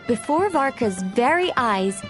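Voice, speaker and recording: A woman's voice: on the high side, big pitch swings, a little slow, music in the background. A woman playing an eccentric, theatrical novelist in a video game, speaking formally and with great self-assurance. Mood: scheming, self-assured.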